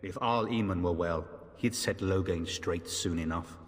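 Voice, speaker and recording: Weary male knight played by an actor, low, formal, earnest, fantasy game, long reverb. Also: normal speed, close to an everyday voice. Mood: grave, doubtful. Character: weary, courteous knight on a fading quest.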